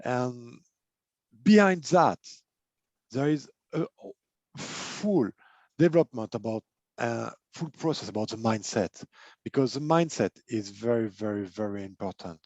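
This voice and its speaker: A man's voice: medium pitch, expressive, measured pace, a little mellow, no room sound. A man who is not a native English speaker hosts an online interview, talking hesitantly with many fillers and repeated words. He works as a life and business coach. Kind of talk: casual interview chat.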